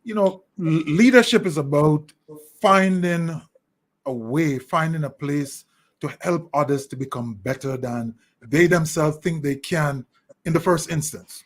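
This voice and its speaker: Higher-pitched Jamaican man, measured phrasing. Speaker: interview guest, leadership consultant. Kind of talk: thoughtful interview answers.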